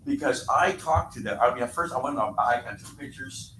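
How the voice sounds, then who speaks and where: A man's voice: medium pitch, fairly quick. A man speaking at a public meeting, telling a rambling, chatty story to the board. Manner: rambling, chatty, with lively laughter. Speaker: resident speaking at public comment. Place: echoey meeting room. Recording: echoey, distant, noisy meeting room audio.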